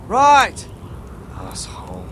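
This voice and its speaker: A man's voice: sits low, wildly swooping pitch, very slow, a bit bright, slight background noise, heavily acted. An actor playing a blunt, suspicious young watchman who swears freely in a video game. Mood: irritated. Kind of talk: dramatic game dialogue.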